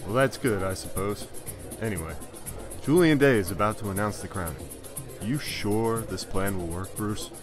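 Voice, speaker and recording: A man playing a middle-aged school principal and father in an audio drama, steady, wry and dryly firm. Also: fairly low pitch, normal speed, soft background music, close to an everyday voice. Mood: wry, concerned.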